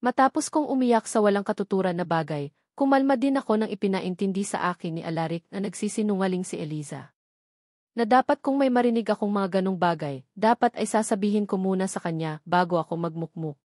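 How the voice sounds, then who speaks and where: Female audiobook narrator, medium pitch, scripted first-person romance, very dry sound. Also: fairly quick, a little mellow, no echo. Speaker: story narrator.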